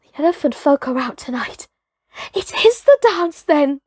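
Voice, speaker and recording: Lady doing a little storybook boy, awed n shivery, excited, highish voice, exclaims half aloud. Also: moderate pace, dry recording, clean studio sound. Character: awed, shivering young boy elephant rider.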